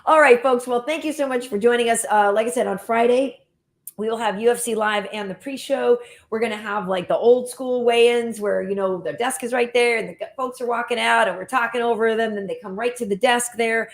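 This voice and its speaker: A woman's voice: on the high side, fairly quick. A woman hosting a casual talk show, upbeat and easygoing, laughing often. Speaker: host. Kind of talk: casual chat, show sign-off.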